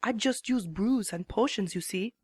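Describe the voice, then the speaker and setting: Mystic rhyming zebra healer voiced by a woman, slow and measured, with a husky, breathy tone. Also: medium pitch, a little mellow, no room sound, voice pushed down, lightly acted. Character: wise mysterious female zebra potion-maker who rhymes. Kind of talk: fan dramatic reading.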